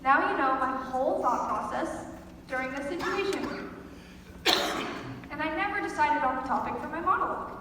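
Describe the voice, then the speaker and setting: Young female student for a comic stage monologue, high voice, captured from far off in a reverberant, noisy theater. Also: moderate pace, pauses often. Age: teen or young adult student. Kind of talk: performed comic monologue to an audience. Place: school theater stage, live audience. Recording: echoey hall, far from mic, noisy.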